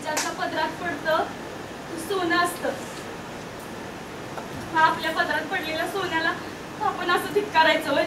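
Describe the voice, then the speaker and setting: A woman's voice: extremely high pitch, level tone, normal speed, long pauses. A woman playing a caring village wife who pleads with and coaxes her grieving husband in rural Maharashtrian Marathi, in a stage drama. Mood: earnest pleading. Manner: coaxing, pleading. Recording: distant echoey stage recording, loud noise.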